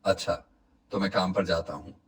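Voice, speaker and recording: Husky, low-voiced honest woodcutter, acted by a man. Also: fairly even intonation, normal speed. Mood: pleased, reassuring. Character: honest, hopeful, hardworking poor woodcutter, husband and father. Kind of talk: Hindi cartoon moral-story dialogue.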